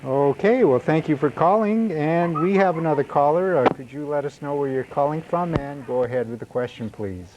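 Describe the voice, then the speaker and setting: A man's voice: on the high side, moderate pace, few pauses, noisy background. A man hosting a call-in show, taking callers in a friendly way. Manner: friendly, repeats call-in prompts. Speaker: host. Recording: dull lo-fi broadcast recording.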